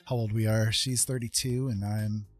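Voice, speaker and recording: A man in his fifties chatting casually on a livestream while drawing, in a friendly, rambling way, thinking out loud. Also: on the low side, level tone, normal speed, faint music behind. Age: 50s. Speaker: art livestream host.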